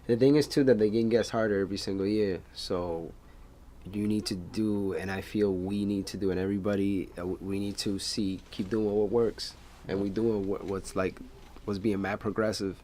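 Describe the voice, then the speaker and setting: A man's voice: on the low side, steady tone, dark tone, slight background noise. A young man co-hosting a casual podcast who talks loosely and agrees easily. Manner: loose, agreeable, quick to laugh. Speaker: podcast co-host. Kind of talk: casual chat.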